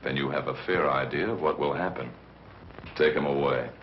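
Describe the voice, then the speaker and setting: An actor playing a cold, menacing villain commander in a 1940s film serial, speaking in measured, formal threats. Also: male voice, very deep voice. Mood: smugly menacing. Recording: noisy, muffled old film soundtrack.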